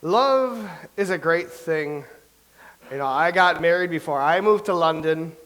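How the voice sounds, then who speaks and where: Boastful, rambling young playwright, slightly high voice, as an actor plays him. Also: male voice, lively intonation, a bit bright, poor audio. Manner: rambling, recites verse slowly. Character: chatty boastful young playwright from old England. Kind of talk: staged play reading.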